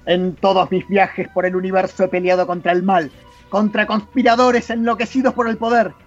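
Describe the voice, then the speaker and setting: A grumpy, indignant time-traveling alien declaims in a high voice with an Argentine accent , acted out by a man. He sounds like a radio-show oration against corruption. The recording is dull, with music faintly underneath. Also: flat delivery, normal speed. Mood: indignant, energetic.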